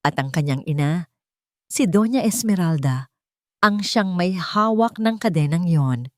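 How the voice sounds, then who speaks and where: A woman's voice: fairly low pitch, expressive, a little slow, no echo, clean studio sound. A woman reading a scripted, dramatic love story as a storyteller. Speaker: storyteller, narrator.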